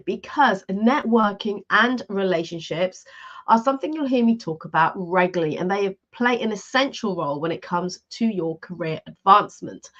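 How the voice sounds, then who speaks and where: Woman, live-stream career coach, stuffy cold, upbeat, structured, mid-pitched, slightly muffled audio. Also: a bit bright. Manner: upbeat, structured, speaks straight to viewers. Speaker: leadership and career coach, live show host.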